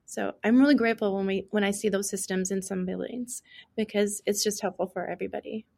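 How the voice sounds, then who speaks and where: Earnest woman guest with gravelly fry. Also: mid-range voice, fairly even intonation, fairly quick, a little mellow. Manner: earnest, explanatory, many restarts. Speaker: guest expert on deaf education. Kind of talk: casual interview chat.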